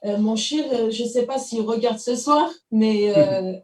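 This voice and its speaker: A woman's voice: on the high side, unhurried, darkish tone. A woman hosting an interview, speaking in a chatty, hesitant way full of fillers. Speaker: TV show host. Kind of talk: casual interview conversation. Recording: roomy, distant mic.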